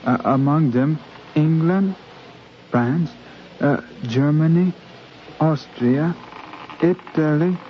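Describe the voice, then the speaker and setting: A man acting a devoted, studious African boy in a 1950s radio drama, speaking in halting, careful, simple phrases. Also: on the high side. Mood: careful reciting. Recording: muffled, hissy 1950s radio recording.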